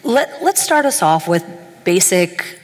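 A woman's voice: on the low side, very animated, slow. A woman hosting an onstage interview, asking questions in an upbeat, playful way. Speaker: interviewer, host. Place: event stage in a large hall. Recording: reverberant hall.

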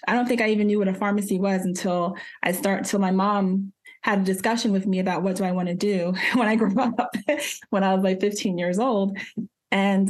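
A woman's voice: mid-range voice, a little mellow. A woman from Jamaica who was raised in New Jersey, a pharmacist and podcast guest telling her story in a chatty way, with many fillers and frequent laughs. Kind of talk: casual interview storytelling.

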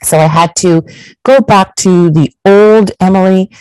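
A woman's voice: medium pitch, unhurried, a little mellow, clean tone, no echo, rough recording. A middle-aged woman, born in Portugal, giving blunt, emphatic personal advice to her viewers in a candid monologue, swearing now and then for emphasis. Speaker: vlogger.

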